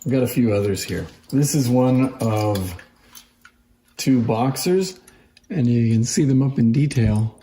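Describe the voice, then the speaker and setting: A man's voice: medium pitch, unhurried, warm tone. A man giving a casual, unscripted hands-on demonstration as a presenter, speaking informally and with interest. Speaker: presenter demonstrating antique phonograph toys. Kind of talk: casual hands-on show-and-tell. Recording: roomy echo, faint background noise.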